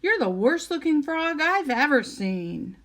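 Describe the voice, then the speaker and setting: A breezy fairy godmother from a storybook, voiced by a woman reader. She is blunt and offhand, in a high, bouncing voice. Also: big pitch swings, measured pace, fairly bright tone, full character voice.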